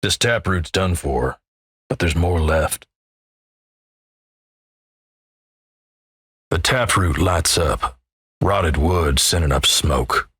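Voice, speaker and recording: A man playing a gruff, folksy, wry Old West narrator in a video game, with a gravelly American Western drawl and measured, ominous storytelling. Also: low-pitched, animated, fairly bright tone, dry recording, studio quality, full character voice. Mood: portentous. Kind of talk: dramatic second-person scripted game narration.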